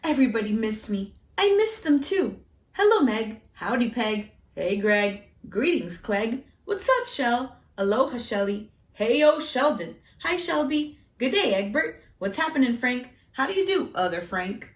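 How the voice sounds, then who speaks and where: Woman as an earnest, high-pitched storybook egg. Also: a little slow, roomy sound. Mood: cheerful greeting. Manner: expressive storybook delivery. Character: earnest, dutiful, anxious good egg telling its story.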